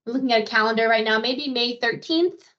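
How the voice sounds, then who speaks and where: A woman with a fairly high voice answers survey questions on a slightly dull, lo-fi call. She speaks conversationally, a bit hesitantly, as if working out each answer. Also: fairly quick, a bit bright. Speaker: patient answering a survey interview. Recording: dull, lo-fi phone call audio.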